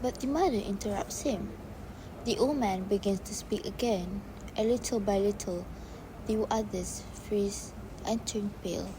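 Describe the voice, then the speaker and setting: Female narrator, scripted play narration, medium pitch, clear background noise. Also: a little slow.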